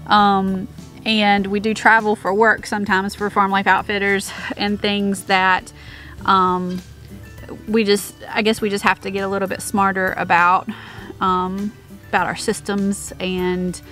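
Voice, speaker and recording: A woman's voice: mid-range voice, a bit bright. A woman in her thirties talking casually outdoors on her homestead, with many fillers and restarts. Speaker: homestead vlogger. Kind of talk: casual chat.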